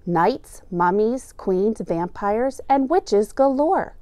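Woman reading a picture book aloud, higher-pitched. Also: no room sound. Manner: deliberate, expressive storytelling with emphasized words. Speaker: second grade teacher reading aloud.

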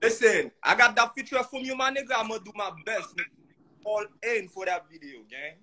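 A man's voice: high-pitched, brisk, pushed and bright. A man with a Haitian accent, a guest rapper on a video call, hyped up and mixing English with Creole and French. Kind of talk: casual livestream chat. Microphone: phone on a video call.

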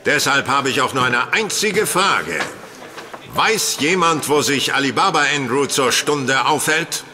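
An actor playing a self-assured, courteous gentleman detective in a German radio play, polite and dryly amused. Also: mid-range voice, lively intonation, measured pace. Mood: formal, confident.

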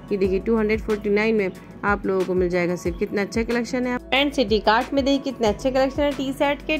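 Fast-talking woman vlogger touring a store. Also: fairly high pitch. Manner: brisk, repetitive, upbeat salesy patter addressing viewers. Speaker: shopping vlogger. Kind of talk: store walkthrough with prices. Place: indoor retail store aisles.

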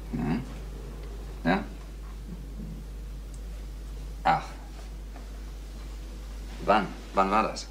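A man's voice: low voice, fairly bright tone, heavily acted. Echoey, probing businessman. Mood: clipped, attentive. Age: adult, younger than the salesman. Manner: clipped, casual, probing. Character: polite but probing, suspicious younger businessman. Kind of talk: radio crime drama dialogue. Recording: echoey room with faint noise.

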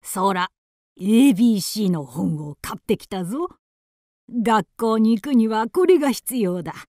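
A woman voicing a poor, kindly old carpenter father in a radio drama, with a gruff fatherly manner. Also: medium pitch, dry recording, clean studio sound, lightly acted. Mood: proud. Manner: gruff fatherly, slow and lively.

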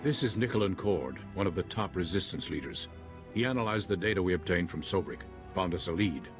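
An actor playing a grave, commanding Jedi commander in a video game, measured and serious. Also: male voice, sits low, expressive. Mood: composed, serious. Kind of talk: video game cutscene acting. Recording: music behind the voice.

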